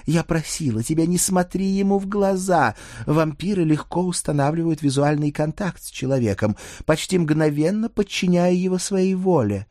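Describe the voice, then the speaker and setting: Man voicing a high-pitched, commanding half-vampire woman. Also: animated, normal speed, hardly any pauses, dark tone, no echo, clean studio sound, natural voice. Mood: tender irritation. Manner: teasing, authoritative. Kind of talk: audiobook character acting.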